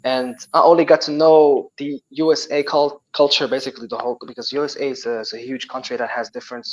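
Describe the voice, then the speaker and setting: A young man with a Moroccan accent, a Tamazight speaker, chatting as a guest, animated and full of restarts and repeated words. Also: mid-range voice, fast, poor audio. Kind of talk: casual chat.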